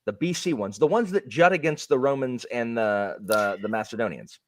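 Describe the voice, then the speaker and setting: Animated, rambling man. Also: mid-range voice, moderate pace, no room sound, clean studio sound. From Canada. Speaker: streamer host. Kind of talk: casual livestream chat.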